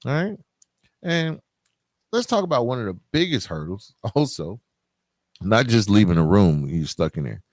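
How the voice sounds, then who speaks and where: A man's voice: lowish voice, sing-song, normal speed, pauses often, darkish tone, dry recording, studio quality. A middle-aged man hosting a podcast, talking informally and directly to his listeners in a conversational solo monologue. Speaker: podcast host.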